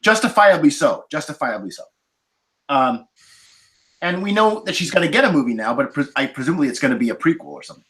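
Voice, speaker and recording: Animated, bright-voiced man on a podcast. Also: a little high, quick pace. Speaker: podcast panelist reviewing films. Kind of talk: casual roundtable discussion.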